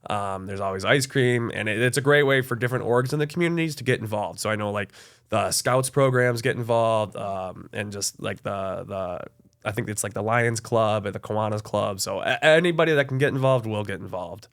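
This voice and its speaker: A young man from Michigan, a college access adviser, chatting as a guest in an interview, relaxed and friendly, with many fillers and restarts. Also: lowish voice, a little fast. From Michigan, USA. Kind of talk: casual interview conversation.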